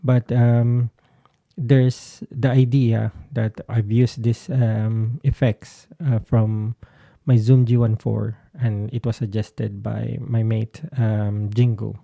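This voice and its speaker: Guy chatting casually about guitar stuff, slow n hesitant, creaky voice, dry sound. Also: medium pitch, flat delivery, no echo, muffled recording. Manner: hesitant, searching for words. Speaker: guitarist vlogger. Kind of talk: casual explanatory talk to camera.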